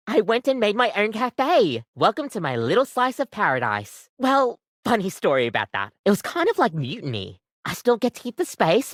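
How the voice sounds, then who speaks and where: A woman voicing a living coffee maker in a video game, an earnest, bubbly, chatty barista with an expressive, rambling way of talking. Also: mid-range voice, dry recording, clean studio sound. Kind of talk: scripted video game voice acting.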